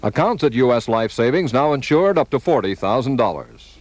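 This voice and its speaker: Male American ad announcer, lively pitch movement, faintly noisy old TV tape. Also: a little fast. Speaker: commercial announcer. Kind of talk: scripted advertising voice-over.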